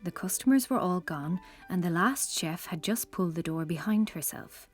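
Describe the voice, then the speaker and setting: A woman's voice: lowish voice, animated, normal speed, soft background music. A woman narrating a scripted children's story as the storyteller of an audio drama.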